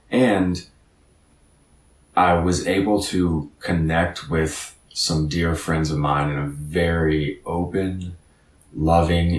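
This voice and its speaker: A man's voice: a bass voice, echoey room, recorded from a distance. A man in his thirties giving an earnest, reflective motivational talk as a podcast guest, often repeating words as he thinks. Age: 30s.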